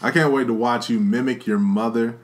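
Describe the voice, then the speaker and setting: A man's voice: medium pitch, fairly even intonation, a little fast. Earnest slangy man on a podcast. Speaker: podcast co-host, expecting father. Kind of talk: casual chat.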